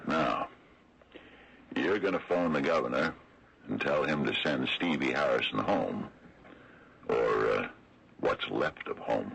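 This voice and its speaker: An actor playing a wry, folksy small-town lawman, speaking deliberately in a 1970s radio mystery style. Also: fairly bright tone, full character voice, low-quality recording. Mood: dry, pointed. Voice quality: very deep, gravelly, rough. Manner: deliberate, folksy, sardonic, hesitant drawn-out delivery. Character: wry, slow-talking older small-town lawman exposing a cover-up. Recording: old radio drama broadcast recording.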